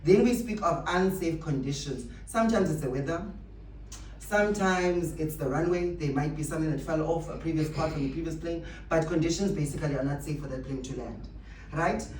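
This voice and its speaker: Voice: medium pitch, brisk, a little mellow. Distant echoey recording, faint noise, motivational speaker, emphatic, rhetorical, repetitive phrasing. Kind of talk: motivational speech to staff.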